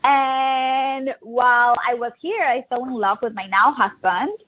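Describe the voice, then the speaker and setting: A woman in her thirties from Colombia with a Colombian accent, telling her story as a podcast guest in a quick, chatty, upbeat way. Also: on the high side, lo-fi sound. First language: Spanish. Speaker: guest, digital marketing agency owner. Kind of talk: casual interview storytelling.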